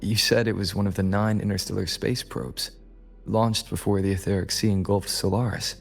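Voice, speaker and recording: A man voicing a calm, knowledgeable character with a gravelly voice in a sci-fi video game. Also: on the low side, moderate pace, faint music behind. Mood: calm, recalling.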